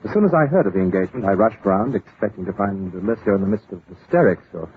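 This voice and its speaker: A man's voice: low-pitched, quick pace, lightly acted. A man playing a courtly, easily flustered English lord in an old-time radio drama, effusive and slightly blustery. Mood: concerned, confiding. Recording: tinny, hissy old radio recording.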